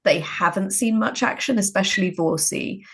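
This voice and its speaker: A woman chatting casually as a writer, discursive and halting as she thinks aloud. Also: medium pitch, a bit bright, slightly rough. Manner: halting, discursive, frequent filler phrases. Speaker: writer and co-interviewer. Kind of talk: casual interview conversation.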